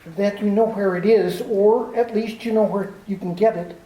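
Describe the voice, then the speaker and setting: Woman playing a prim, fussy gentleman crook. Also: mid-range voice, steady tone, normal speed, echoey room, distant mic, lightly acted, rough recording. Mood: courteous. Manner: prim, formal, hesitant and mincing. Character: fussy, overly polite male foreign gentleman crook. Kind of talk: amateur 1940s-style radio drama acting.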